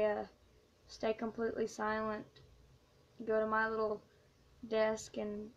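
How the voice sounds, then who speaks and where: A teenage girl vlogging late at night, hoarse and quiet, speaking in a hushed, halting way with many fillers. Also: mid-range voice, dull audio. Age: teens. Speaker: YouTuber. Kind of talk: late-night confessional vlog.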